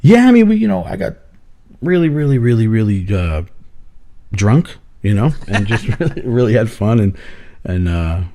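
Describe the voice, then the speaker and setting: A hoarse man co-hosting a casual podcast, rambling through his stories with plenty of swearing and laughter. His voice sits on the low side, loose and chatty. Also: sing-song, normal speed, mellow. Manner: rambling storyteller, swears freely, laughs often. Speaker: podcast co-host. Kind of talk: casual chat.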